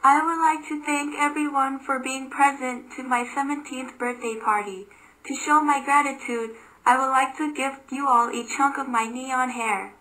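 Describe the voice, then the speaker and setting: A woman's voice: sits high, steady tone, normal speed, pushed and bright, low-quality recording. A woman voicing a polite, generous seventeen-year-old goddess in an amateur mythology cartoon, speaking formally and courteously. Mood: polite gratitude.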